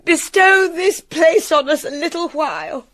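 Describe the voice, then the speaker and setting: An actress playing a distraught queen and mother with a British accent, measured and intensely emotional in classical radio drama style. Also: very high-pitched, fairly bright tone.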